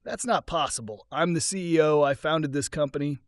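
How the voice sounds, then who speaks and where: A man's voice: on the high side, a little fast, dry recording, studio quality, close to an everyday voice. A man voicing a bewildered company chief in a dramatic story, incredulous and rattled. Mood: stunned disbelief.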